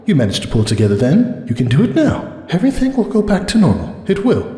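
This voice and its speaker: A man's voice: sits high, wildly swooping pitch, quick pace, warm tone, echoey room, long reverb tail, voice pushed up. A man voicing an anxious, frightened female pony baker in a storybook reading. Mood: pleading. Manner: strained, pleading, breaking into bitter accusation.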